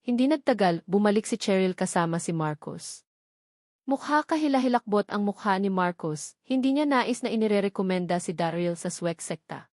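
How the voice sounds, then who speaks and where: Lady reading a novel out loud, same voice for story and dialogue, medium pitch, really dry sound. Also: animated, moderate pace, dry recording. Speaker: audiobook narrator. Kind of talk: scripted novel narration in Tagalog.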